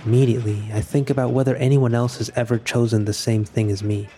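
A man acting a resigned, solitary narrator in scripted audio fiction, speaking in a measured, introspective way about his own final day. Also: mid-range voice, level tone, warm tone, a little hiss or hum, natural voice. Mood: quiet resignation.

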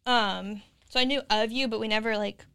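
Woman in her 20s, guest on a podcast, chatty and relaxed, smooth voice. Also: on the high side, moderate pace. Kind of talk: casual chat.

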